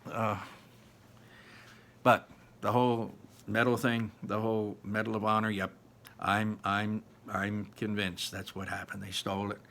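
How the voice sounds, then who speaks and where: A man gives informal commentary as a read-aloud host. His voice sits fairly low with a sharp, bright edge. He speaks haltingly and repeats phrases to drive them home. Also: little pitch movement, a little hiss or hum. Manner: halting, emphatic, repeats himself for weight. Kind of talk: informal commentary on a book chapter.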